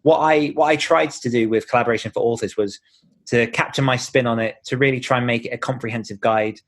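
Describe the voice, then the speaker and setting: A man's voice: mid-range voice, steady tone, fast talker. A man speaking as an author guest in a conversational podcast interview, explaining things in an encouraging way.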